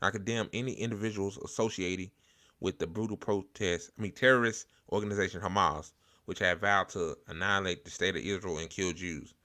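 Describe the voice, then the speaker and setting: Male American host, low forceful voice, informal halting political commentary. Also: steady tone. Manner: informal, hesitant, halting delivery with blunt slang. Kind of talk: casual unscripted political commentary.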